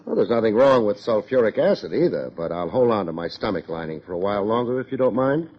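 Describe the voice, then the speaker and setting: A hard-boiled American private eye, wisecracks with a lively, swinging pitch and unflappable wit. It has the sound of a fifties radio drama, dull and lo-fi. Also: male voice, fast talker. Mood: deadpan. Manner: wisecracking, deadpan. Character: wry, hard-boiled male private detective, unflappable and quick-witted. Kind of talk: 1950s American radio detective acting. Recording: dull lo-fi old radio recording.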